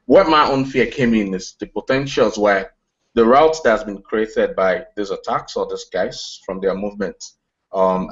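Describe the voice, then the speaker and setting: Low-voiced man, hesitant. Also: highs cut off. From Nigeria. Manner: hesitant, many "um" fillers, tentative phrasing. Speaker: tech company founder. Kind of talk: television interview.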